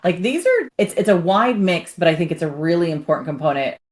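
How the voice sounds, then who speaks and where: Woman podcast host, very low voice, animated casual chat, frequent "right?". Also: a little fast. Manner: animated, keeps checking in with "right?".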